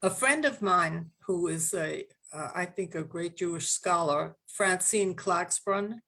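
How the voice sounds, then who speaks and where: Older woman, low reflective voice. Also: measured pace, fairly bright tone. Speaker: guest author. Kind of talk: casual panel discussion.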